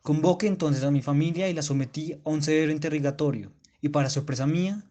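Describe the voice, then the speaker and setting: A man plays a stern school director in a radio play, his voice slightly high. He speechifies at length, pompous and oratorical, with great official gravity. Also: quick pace, warm tone, natural voice. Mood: pompous, reminiscing. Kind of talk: literary radio drama monologue.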